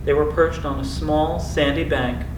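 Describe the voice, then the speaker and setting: A man's voice: a little high, steady tone, a little slow. A man reading a scripted narrative aloud. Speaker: volunteer audiobook reader from a school community. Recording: echoey room, distant mic, background noise.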